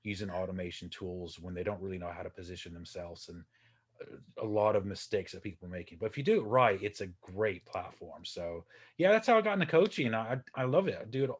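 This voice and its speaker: A man in his forties, from Texas, talking as a guest on a podcast. His voice sits low, and he rambles in a friendly, self-deprecating way, full of ums, uhs and restarts. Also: expressive, quick pace, breathy edge. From Texas, USA. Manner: chatty, self-deprecating, many fillers and restarts. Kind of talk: casual interview talk.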